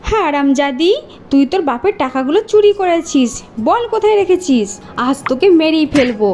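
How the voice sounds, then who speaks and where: A woman plays a cruel cartoon mother with a high, piercing pitch. She scolds, accuses and threatens with a sharp tongue in full melodrama, over faint music. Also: animated, fairly quick. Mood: angry, accusing.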